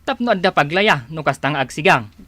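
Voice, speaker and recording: A woman's voice: low-pitched, lively intonation, a little fast, a bit bright, no room sound. A woman playing a whiny, scheming village spouse who feigns illness in a radio drama, complaining plaintively with an Ilocano accent.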